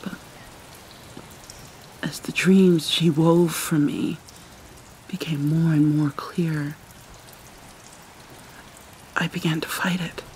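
Slow, whispery, sorrowful vampire mermaid played by a woman. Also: deep voice, rough recording. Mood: troubled. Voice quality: soft, breathy, often slipping into whisper. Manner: halting, mournful, dramatic pauses. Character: vampire mermaid, sorrowful young-looking princess, centuries old, haunted. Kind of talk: soft-spoken ASMR roleplay monologue. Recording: steady rain and water noise behind. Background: rain ambience.

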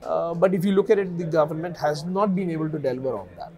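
A man in his thirties, an analyst guest in a news studio interview, speaking in a measured way with many fillers on a lapel mic. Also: a little high, darkish tone.

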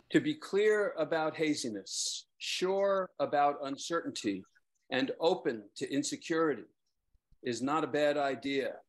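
A man's voice: medium pitch, rough recording. A middle-aged man, a poet, hesitantly introducing and reading his own verse on a video call. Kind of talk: casual remarks and measured poem reading. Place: lamplit room at home, on a video call. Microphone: computer mic on a video call.

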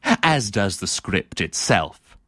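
A man's voice: lowish voice, big pitch swings, slow pace, bright, forceful tone, no echo, studio quality, full character voice. A man playing a haughty, embittered female theatre critic in a pompous, melodramatic comic stage soliloquy. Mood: withering, haughty. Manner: pompous, precisely worded, melodramatic. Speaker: actor performing a comic monologue.

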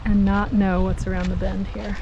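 A middle-aged woman chatting casually to her camera while hiking, relaxed and laughing often, recorded outdoors on a small action camera. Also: medium pitch, normal speed, dull audio. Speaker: vlogger. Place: outdoors by a mountain lake and on a trail. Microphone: action camera, handheld or at arm's length. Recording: outdoor camera audio with stream and wind noise.

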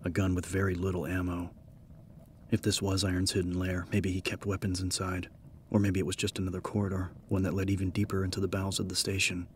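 A man narrating a scripted horror story as an audiobook, measured and serious. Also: deep voice, completely flat delivery, a little hiss or hum.

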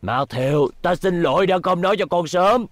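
A man's voice: high-pitched, normal speed, a bit bright, no room sound, studio quality. A man playing a remorseful, tender older father explaining himself earnestly in a dubbed cartoon. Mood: apologetic. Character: remorseful older father, tender toward his robot son.

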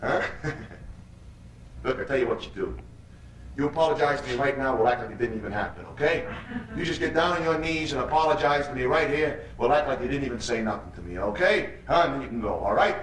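An actor playing a menacing, mocking street gang leader in a theatrical monologue, badgering and repeating himself. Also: male voice, medium pitch, a little fast, fairly bright tone, close to an everyday voice. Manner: badgering, repetitive, punctuates lines with "huh?". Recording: echoey room, distant mic, noisy.

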